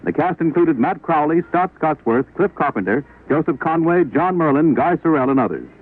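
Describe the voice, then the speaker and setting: A man reading scripted announcements in a crisp, formal 1940s radio style on a tinny vintage recording. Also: fairly high pitch, lively intonation, normal speed.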